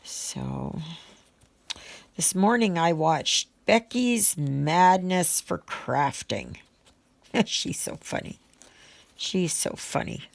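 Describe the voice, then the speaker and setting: A woman chatting casually as she does her crafts, rambling in a halting, unhurried way and thinking aloud. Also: sits low, creaky. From Canada. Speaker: craft vlogger. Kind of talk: casual rambling chat while stitching.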